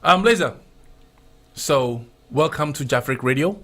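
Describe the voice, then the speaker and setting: A man with a Ghanaian accent hosting a casual radio chat show, animated and prone to repeating himself. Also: a little high. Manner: animated, repeats phrases, drawn-out spelling of contacts. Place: radio studio.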